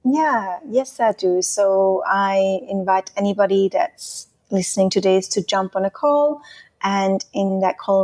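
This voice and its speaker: A woman from Switzerland, whose first language is Swiss German, talks through her work as a relationship coach in a friendly, flowing way. Her voice sits at a mid pitch, and she laughs readily. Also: creaky, darkish tone. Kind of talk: podcast interview answers.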